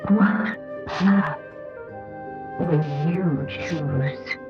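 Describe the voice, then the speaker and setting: A mysterious female storyteller in a video game. She is extremely slow and solemn, on the low side, each phrase portentous, with loud music around her. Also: muffled recording. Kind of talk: scripted video game narration.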